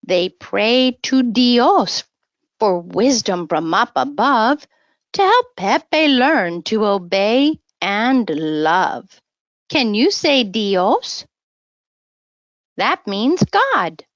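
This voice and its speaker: A woman reading a bilingual children's story aloud in a teacherly way, with simple, deliberate phrasing. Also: on the high side, very animated, no echo, muffled recording. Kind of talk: read-aloud bilingual children's story with word-repeat prompts.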